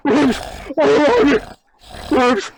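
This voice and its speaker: A man playing a doomed, terrified man in an old-time radio horror drama, melodramatic and halting. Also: extremely high pitch, big pitch swings, exaggerated voice. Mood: rising terror. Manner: melodramatic, halting, repeats words in dread. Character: doomed man, exhausted then panicking as worms swarm in.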